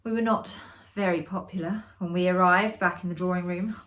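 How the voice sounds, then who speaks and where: A woman giving a dramatic, expressive reading of a classic ghost story as a storyteller. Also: on the low side, fairly quick. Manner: expressive, acting out the dialogue. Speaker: storyteller, amateur theatre performer.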